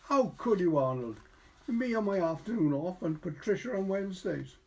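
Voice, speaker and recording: A young woman in love, played by a male reader in a storybook. The voice is raised in pitch, wounded and full of reproach. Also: animated, moderate pace. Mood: reproachful.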